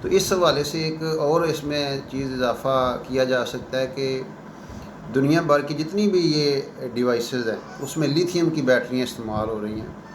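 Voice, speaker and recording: A man giving unscripted current-affairs commentary as a professor, in an earnest, halting way with pauses and repeated phrases. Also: medium pitch. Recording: echoey room with clear background noise.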